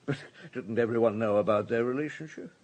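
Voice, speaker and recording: Measured, probing police superintendent played by an actor. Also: male voice, mid-range voice, a little mellow, close to an everyday voice. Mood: probing, calm. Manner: measured, understated, courteous questioning. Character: unhurried, probing French police superintendent, quietly authoritative. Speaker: police detective. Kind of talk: radio drama acting.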